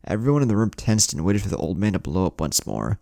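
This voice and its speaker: A man's voice: low voice, very animated, fast talker, vocal fry, mellow, no echo, clean studio sound. A man reading scripted fan fiction as a narrator, in an audiobook-like style.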